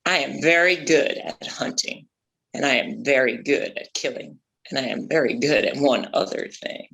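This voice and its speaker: A man's voice: mid-range voice, normal speed, gravelly, fairly bright tone, low-quality recording. A man playing a vain, boastful ex-pirate shark hunter, grand and pompous, in a comedy table read. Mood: proud, scornful.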